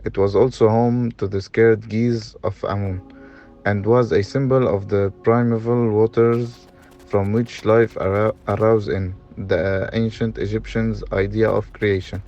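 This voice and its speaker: Mellow low-voiced man narrating, muffled sound. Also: level tone, soft background music. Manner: halting reading with stumbles. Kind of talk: scripted documentary narration read aloud. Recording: dull, lo-fi sound.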